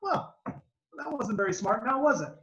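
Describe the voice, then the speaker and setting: A man reading the part of a blunt, logical father at a screenplay table read, brisk and matter-of-fact. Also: very high voice, animated, pushed and bright, dull audio, full character voice.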